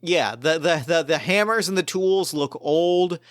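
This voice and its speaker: Man, game master, clear smooth voice, halting improvised narration, repeated phrases, dry close sound. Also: fairly high pitch, dry recording.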